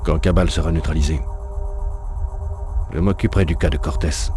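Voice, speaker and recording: An actor playing a grim, vengeful leader of a military cult in a game cutscene, authoritative and solemn. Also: male voice, extremely low pitch, fairly even intonation, normal speed, dark tone, soft background music. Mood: vengeful resolve. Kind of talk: dubbed video game cutscene acting.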